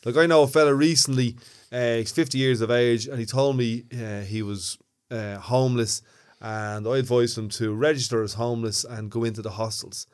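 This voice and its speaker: A man's voice: medium pitch, fairly even intonation, moderate pace, hardly any pauses, clean tone. A man with a Dublin Irish accent, co-hosting a podcast in casual, blunt and earthy chat, often repeating words as he starts a thought. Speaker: podcast co-host.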